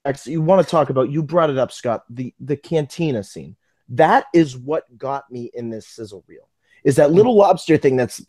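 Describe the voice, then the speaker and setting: An American man co-hosting a casual live fan podcast, talking in an excitable, joking way. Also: mid-range voice, no room sound. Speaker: podcast co-host.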